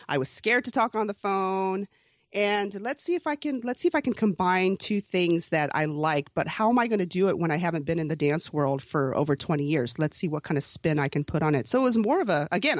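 A woman in her forties telling her life story as an interview guest, earnest and reflective, often restarting sentences and breaking into soft laughs. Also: fairly low pitch, no room sound, highs cut off. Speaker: podcast host being interviewed as guest. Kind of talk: candid personal storytelling.

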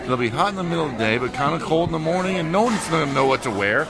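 A man's voice: a little high, expressive, racing. A man chatting as a podcast guest in a busy restaurant and bar, ranting in mock anger and swearing. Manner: mock-angry, profane ranting. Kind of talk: casual chat. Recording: loud bar music and crowd noise.

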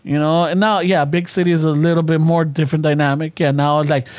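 A man's voice: on the high side, level tone, quick pace, a little mellow, clear, resonant tone, dry recording. A man chatting casually with friends, switching between English and Spanish, rambling and swearing as he tells stories.

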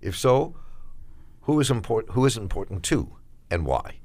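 A man's voice: on the low side, very animated, normal speed, darkish tone. A man hosting a radio talk show, moderating a panel and pressing his question with emphasis. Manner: probing, repeats questions emphatically.

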